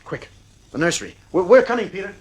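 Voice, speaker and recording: A firm, worried dad in an old fifties radio play, kinda weary. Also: male voice, sits high, very animated, fast talker, breathy edge, heavily acted. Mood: frantic. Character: stern, worried father of two children. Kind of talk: 1950s radio drama acting.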